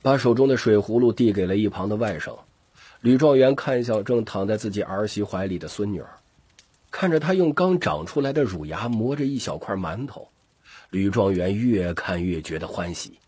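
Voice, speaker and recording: A man with a gravelly, husky voice narrating an audiobook story expressively. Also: on the low side, animated, moderate pace, mellow, dry recording.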